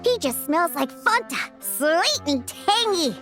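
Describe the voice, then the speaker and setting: A woman's voice: very high voice, slow, full character voice. A woman voicing an earnest, easily flustered, chatty young girl in a video game, with music behind her. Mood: chatty, upbeat. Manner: excitable, chatty, indignant. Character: earnest, flustered, high-voiced girl who mixes drinks. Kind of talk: animated video game voice acting.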